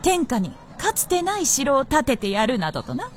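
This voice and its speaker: An actress playing a haughty, mischievous princess spirit, imperious and teasing, with archaic speech in a radio drama. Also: female voice, high voice, wildly swooping pitch, moderate pace, noisy background. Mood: gleeful mockery. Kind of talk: Japanese period radio drama.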